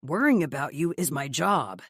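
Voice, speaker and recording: Woman playing a fond, fretting father, animated pitch. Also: normal speed, husky, dry recording, studio quality, full character voice. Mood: fond, worried. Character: fond, fretting middle-aged father, teasing but protective. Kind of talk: audiobook character dialogue.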